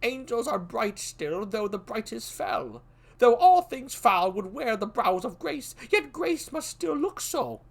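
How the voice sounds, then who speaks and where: A woman's voice: on the high side, sing-song, unhurried, vocal fry, voice pushed up, exaggerated voice. A woman voicing a young cautious prince in a raised, earnest, pleading voice while reading a play aloud.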